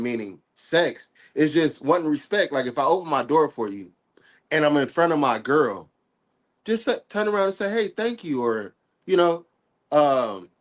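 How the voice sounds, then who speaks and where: A man in his forties, a bit sick and nasal, talking in a relaxed, slangy way on a live stream chat. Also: fairly high pitch. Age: 40s. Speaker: guest on a live stream talk. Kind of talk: casual chat.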